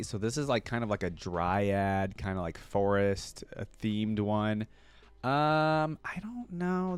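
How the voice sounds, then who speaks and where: Male reaction host, low-pitched, casual rambling patter with fillers, dry close-mic sound. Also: no echo. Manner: chatty, rambling, full of fillers like "like" and "I don't know". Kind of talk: casual commentary reacting to game artwork.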